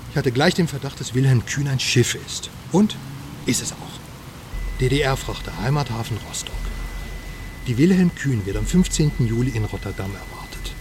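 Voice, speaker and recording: A man's voice: mid-range voice, noisy background, natural voice. A man playing a detective's chatty, irreverent friend in a crime radio drama, talking in a wry, breezy, colloquial way.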